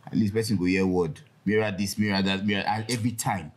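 A man's voice: lowish voice, steady tone, quick pace, natural voice. A man playing a blunt, unsympathetic young friend in a comedy skit, speaking dismissively with a Nigerian accent.

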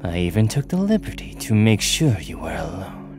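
A man voicing a menacing, possessive demon in a breathy ASMR roleplay whisper, coolly polite and formal. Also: low-pitched, very animated, music in the background. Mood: controlled anger. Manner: slow, formal, deliberate.

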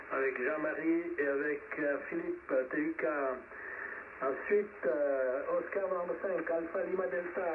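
A man running an amateur radio net over shortwave, speaking in short, encouraging phrases with pauses. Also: fairly high pitch, far from the mic. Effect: shortwave radio transmission. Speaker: net controller of an amateur radio round table. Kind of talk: ham radio net hosting. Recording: narrow, noisy shortwave radio reception. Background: radio static.

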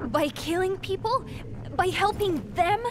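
An actress playing an earnest, principled young resistance heroine in a video game, speaking with intensity. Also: female voice, very high-pitched, a little slow, a loud crowd. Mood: upset, reproachful. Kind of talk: video game cutscene acting.

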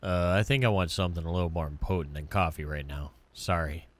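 A man voicing a hesitant, apologetic young man in a story game, mumbling and halting. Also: low-pitched, no echo, voice pushed down, lightly acted. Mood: subdued, uneasy. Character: laid-back, hesitant young male cafe guest, recently dead. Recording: music playing behind the voice.

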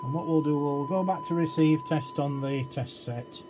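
A man giving an informal hands-on technical walkthrough, halting and thinking aloud as he works at a bench. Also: a little high, steady tone. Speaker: electronics repair demonstrator. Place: indoors at an electronics workbench. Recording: very noisy amateur room recording, loud hiss. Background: loud electronic noise.

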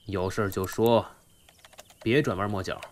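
Man playing a low-voiced, reserved boss. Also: moderate pace, a little hiss or hum, lightly acted. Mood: stern, curt. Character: reserved, regretful male boss. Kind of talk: Chinese audio drama acting.

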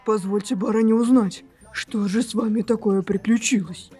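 A woman voicing a bewildered young male hero with a breathy voice and a theatrical, exclaiming manner. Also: mid-range voice, steady tone, moderate pace, warm tone, close to an everyday voice. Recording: faint background music.

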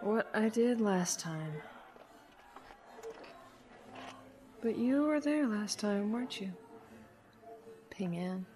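Woman as a slow-speaking weary young academic. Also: medium pitch, animated, mellow. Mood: subdued. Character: dedicated, weary young female university teaching assistant. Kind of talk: audio drama acting.

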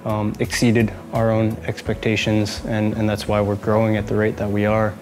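A man in his thirties at a brewery, answering interview questions earnestly and haltingly, often repeating words. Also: fairly low pitch, completely flat delivery, darkish tone, faint music behind. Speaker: brewery manager. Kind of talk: interview and plant tour.

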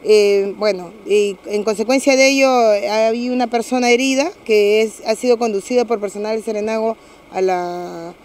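A middle-aged woman with a Peruvian accent, a municipal security official giving a measured, official statement to reporters outdoors on a street, hesitating with fillers and restarts. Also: medium pitch, steady tone, a little mellow, a little hiss or hum. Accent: Peruvian Spanish. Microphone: several handheld press mics.

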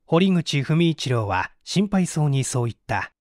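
A man's voice: fairly high pitch, very animated, a little slow, darkish tone, no echo, clean studio sound. A man reading scripted literary narration as an audiobook narrator. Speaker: audiobook narrator, stage actor.

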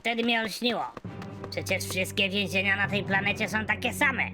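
A performer voicing a naive, hapless young male hero who narrates an animated comedy in a matter-of-fact, deadpan way. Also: mid-range voice, moderate pace, over music, natural voice. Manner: matter-of-fact, deadpan delivery of absurd jokes. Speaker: narrator and protagonist.

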